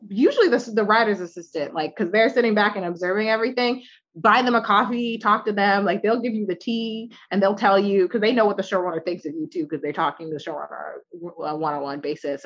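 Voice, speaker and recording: A woman who writes for TV talks candidly in a relaxed interview. Her delivery is animated and loose, full of "like" and quick restarts. The audio sounds slightly dull and lo-fi. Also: medium pitch. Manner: candid, animated, many fillers. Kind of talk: casual interview conversation.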